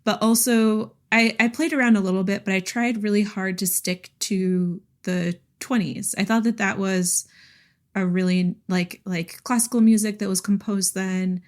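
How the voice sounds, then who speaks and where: A woman's voice: medium pitch, steady tone, normal speed. A woman co-hosting a podcast, chatting casually about books in a giggly, enthusiastic way. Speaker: podcast co-host. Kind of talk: casual book chat.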